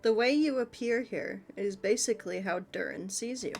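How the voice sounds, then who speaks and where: A woman's voice: a little high, moderate pace, vocal fry, a little mellow. A woman streaming a game, reading dialogue aloud and commenting as she plays. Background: background music.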